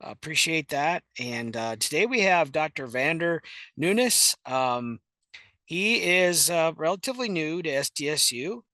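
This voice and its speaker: A man hosting an online extension webinar, introducing the speaker with many ums and halting phrases. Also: a little high, very animated, bright, forceful tone, dry recording. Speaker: webinar host.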